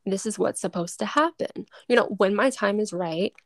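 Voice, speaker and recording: A woman's voice: mid-range voice, dry recording. Woman, casual chat, encouraging replies, dry recording. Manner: supportive, quick affirmations.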